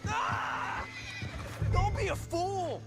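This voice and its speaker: A frantic American male outlaw in a Western video game, wheedling for his freedom. His voice is strained high and creaky, with music playing behind him. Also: big pitch swings, extremely slow, heavily acted. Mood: frantic begging. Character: captured outlaw man, desperate, bargaining, begging for freedom. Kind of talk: video game cutscene acting.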